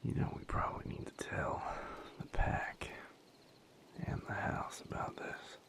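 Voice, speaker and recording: A man playing a tender, teasing, battle-worn magical boyfriend in an intimate romantic audio roleplay, soft-spoken and breathy with a gravelly edge. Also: lightly acted. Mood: wry, weary. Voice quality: gravelly, breathy, half-whispered. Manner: unhurried, soft-spoken, intimate murmuring to a partner.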